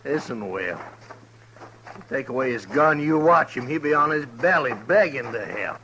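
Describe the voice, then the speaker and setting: A man's voice: medium pitch, animated, normal speed, close to an everyday voice. An American man playing a vengeful, self-righteous outlaw gang leader in a radio Western, taunting and threatening in a rural drawl. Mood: cool, taunting. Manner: taunting, swinging from quiet threat to bark. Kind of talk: 1950s Western radio drama acting. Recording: noisy old radio recording.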